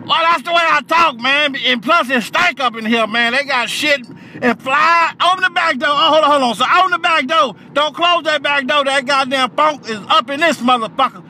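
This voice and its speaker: A man voicing an irate, foul-mouthed old nursing home worker, hollering in a high, strained voice on a prank call. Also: moderate pace, bright, forceful tone, a little hiss or hum, full character voice. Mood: hollering, agitated. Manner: agitated, profane, hollering street talk.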